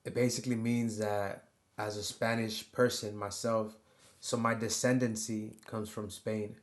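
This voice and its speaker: Low, flat-voiced rambling man. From United States. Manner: halting, filler-heavy, keeps adding "you know" and "right?". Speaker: vlogger. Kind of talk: rambling personal monologue.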